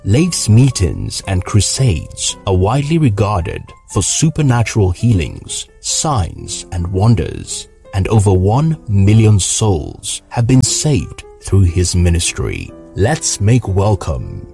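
A low, gravelly male announcer with a dark, mellow tone reads a formal introduction. His pitch moves a lot as he announces, and soft music plays faintly underneath. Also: wildly swooping pitch, slow, few pauses. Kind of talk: scripted introduction.

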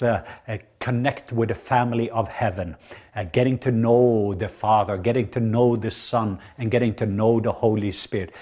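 A man's voice: medium pitch, darkish tone. Middle-aged norwegian guy preaching, earnest and emphatic, lots of uhs. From southwest Norway. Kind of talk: sermon.

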